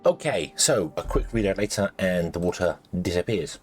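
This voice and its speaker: A man commentating his gameplay casually, rambling with lots of ums and restarts, and a little croaky as he loses his voice. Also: low-pitched, expressive, normal speed, darkish tone, soft background music. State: slightly croaky, losing his voice. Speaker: let's play host.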